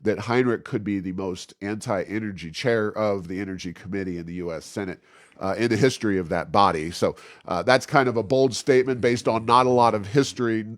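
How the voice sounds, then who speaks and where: A man hosting an informal political commentary podcast, speaking in a halting, stammering way. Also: on the low side, dry recording, clean studio sound. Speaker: podcast host.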